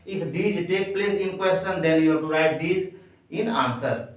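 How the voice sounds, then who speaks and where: A man with an Indian accent, a native Hindi speaker, teaching grammar like a classroom lesson in a deliberate, repetitive way, addressing learners as friends. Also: fairly high pitch. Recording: echoey room, distant microphone.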